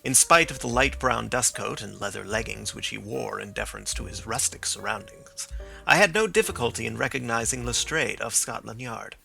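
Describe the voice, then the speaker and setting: A man narrates with a deeply gravelly, crackling voice that stays bright and forceful. His reading is measured and even, like a steady audiobook. Soft music sits faintly behind him. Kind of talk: scripted narration.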